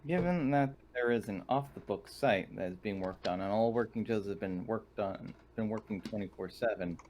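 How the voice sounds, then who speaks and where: Male tabletop player, lowish pitch, casual discussion, faint background noise. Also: normal speed, a little mellow.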